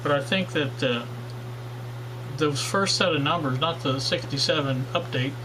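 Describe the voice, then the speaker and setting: A man's voice: a little high, measured pace, noisy background. A man hosting a casual workshop vlog on old radios, talking in a rambling way with many fillers and restarts. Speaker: radio hobbyist channel host.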